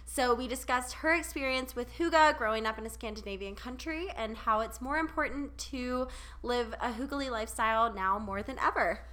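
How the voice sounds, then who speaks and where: A woman's voice: on the high side, normal speed, a bit bright. A young woman co-hosting a podcast, upbeat and enthusiastic as she introduces and interviews a guest. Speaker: podcast co-host. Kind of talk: casual interview chat.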